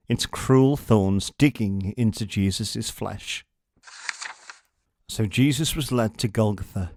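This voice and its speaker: A man reading a scripted children's story as a narrator, with dramatic, measured delivery. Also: lowish voice, no echo, studio quality.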